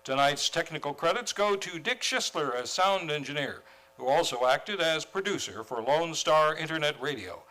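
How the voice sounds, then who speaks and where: Bright, forceful male radio announcer. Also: a little high, lively intonation, moderate pace, poor audio. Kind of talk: old-time radio announcing, scripted. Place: theater stage.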